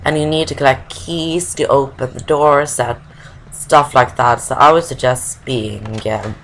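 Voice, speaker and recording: A woman's voice: extremely low pitch, measured pace, slight background noise, low-quality recording. A woman giving casual let's play commentary, chatty and explaining each step with plenty of fillers.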